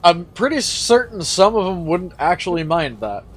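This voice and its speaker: A man casually commenting while playing a game with a friend, with a calm, lecture-like voice and offhand swearing. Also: high voice, moderate pace. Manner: laid-back, musing, swears casually. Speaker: gaming channel host.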